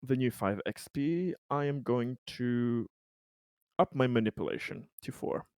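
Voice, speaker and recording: A man chatting casually as a roleplaying player, halting and repeating words as he searches for phrasing. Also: medium pitch, lively intonation, darkish tone, clean tone, no echo, studio quality. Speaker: player in a tabletop roleplaying game. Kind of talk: casual game chat.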